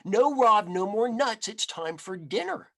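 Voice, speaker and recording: A man's voice: high-pitched, animated, unhurried, gravelly, voice pushed up, exaggerated voice. Kindly, fussy old grandmother, voiced by a man. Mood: gently scolding. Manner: fussy, welcoming, gently scolding. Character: kindly, chatty ninety-seven-year-old village grandmother, hard of hearing.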